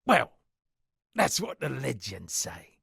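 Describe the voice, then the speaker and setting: A gravelly, theatrical poet. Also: male voice, medium pitch, wildly swooping pitch, takes it slowly, a bit bright, no room sound, studio quality, exaggerated voice. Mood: wry musing. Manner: florid, grandiloquent, dramatic. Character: theatrical, self-satisfied male town poet and storyteller. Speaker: video game character voice actor. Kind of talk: theatrical game dialogue with declaimed verse.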